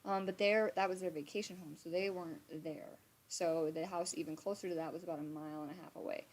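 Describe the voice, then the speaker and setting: Woman in her thirties, rambling storyteller with a slightly low voice, lots of fillers and restarts. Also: steady tone, fast talker, poor audio. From Montana, USA. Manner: swears casually. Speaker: live storytime streamer. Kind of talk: casual storytelling livestream. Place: home, indoors. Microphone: phone or webcam on a live stream.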